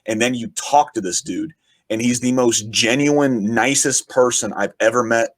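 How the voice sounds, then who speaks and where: A man, a podcast guest who is also a podcast host, talking in rambling, enthusiastic casual chat, his voice raspy from shouting. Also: mid-range voice, normal speed, no room sound. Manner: rambling, enthusiastic, frequent swearing.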